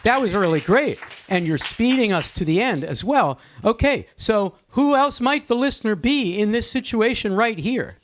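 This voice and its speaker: Animated high-pitched man leading a discussion. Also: normal speed, few pauses. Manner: animated, questioning, encouraging. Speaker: discussion leader. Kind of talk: lively seminar-style poetry discussion. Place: live group event with audience microphones.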